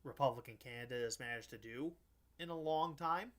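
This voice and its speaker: A man hosting a podcast, chatting casually about politics in a blunt, halting way, often restarting and correcting himself. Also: medium pitch. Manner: halting, frequent false starts and self-corrections, blunt. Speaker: podcast host.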